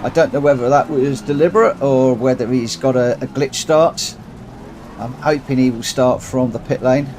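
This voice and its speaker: A man's voice: medium pitch, normal speed, darkish tone, a little hiss or hum. Man with a cold, rambling game commentary. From United Kingdom. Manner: rambling, thinks aloud, corrects himself often. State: has a cold, runny nose. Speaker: streamer. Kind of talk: live racing game commentary.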